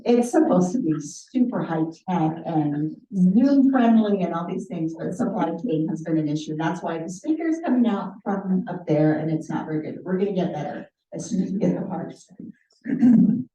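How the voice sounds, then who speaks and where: A woman's voice: on the low side, lively intonation, moderate pace, mellow. A middle-aged woman mayor giving a chatty, upbeat welcome at a community meeting, laughing easily. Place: city hall community room. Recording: echoey room, far from the mic, dull.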